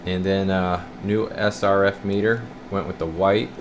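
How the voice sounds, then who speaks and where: Male repair tech, deep-pitched, informal and folksy, demonstrating on camera in a workshop with clear background noise. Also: measured pace. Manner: folksy, informal, addressing viewers as guys. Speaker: radio repair technician. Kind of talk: casual hands-on repair walkthrough to camera. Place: indoor radio repair workshop. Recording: clear steady room noise.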